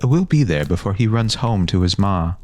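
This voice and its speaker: Confident, bossy older boy played by a man, pitched up, dry sound, fantasy audiobook. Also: expressive, normal speed, a little mellow, no room sound. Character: bossy, worldly older boy leading his gang.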